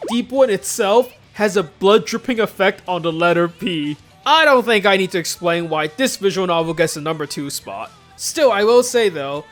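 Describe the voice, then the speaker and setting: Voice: medium pitch, fairly bright tone. A YouTube host giving scripted commentary, emphatic and stretching words for comic exaggeration. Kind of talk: scripted video commentary on visual novels.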